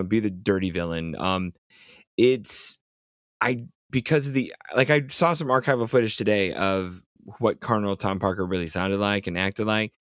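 A man with a very low voice gives his firm, measured take on a film. The sound is close and dry, with no room in it. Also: no room sound. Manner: measured, opinionated. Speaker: podcast co-host. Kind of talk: casual film discussion.

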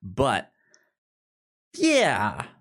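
Conversational co-host, unhurried and hesitant with repeated syllables, very lively intonation. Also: mid-range voice. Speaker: podcast co-host. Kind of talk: casual book discussion chat.

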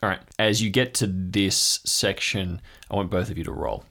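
Male game master, low-pitched, measured narration for an improvised tabletop audio adventure. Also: steady tone, sharp tone.

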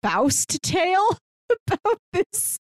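A woman's voice: extremely high pitch, big pitch swings, extremely slow, dry recording, studio quality. A woman co-hosting a movie podcast, talking in an easy, chatty way and now and then laughing mid-sentence. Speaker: podcast co-host. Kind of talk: casual movie review chat.

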